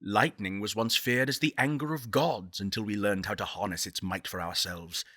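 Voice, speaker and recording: A crisp, forceful male voice, fairly low and recorded very dry, playing a visionary leader of a space program in a game. He is solemn and eloquent. Each line is delivered as deliberate, persuasive oratory. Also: no echo. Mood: lofty, persuasive.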